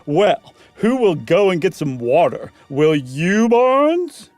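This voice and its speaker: A man voicing a smirking, mocking man in a ghost story, with theatrical, drawn-out teasing. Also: high voice, very animated, a little mellow, dry recording, soft background music, pitched above the performer's own voice, full character voice.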